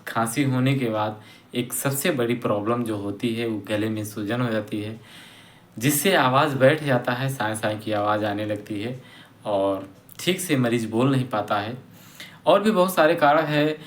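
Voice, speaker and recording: A man hosting a health channel, giving plain instructions and often pausing to correct himself. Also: mid-range voice. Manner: plain and instructive, with many pauses and self-corrections. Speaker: Ayurveda health channel host. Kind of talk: informal explanatory talk to camera.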